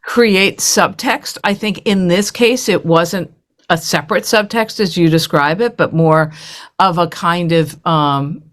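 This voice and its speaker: A woman with a slightly low voice talks as a composer in a panel conversation. She thinks aloud in loose, halting phrases, circling toward her point. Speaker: composer being interviewed.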